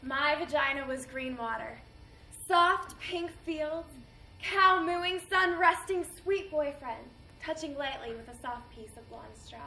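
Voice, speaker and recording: A woman's voice: high-pitched, lively intonation, unhurried, sharp tone, heavily acted. A woman performing a theatrical monologue as a wistful, tender war survivor remembering her body in lyrical phrases. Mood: wistful, dreamy. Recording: echoey hall, distant mic, faint noise.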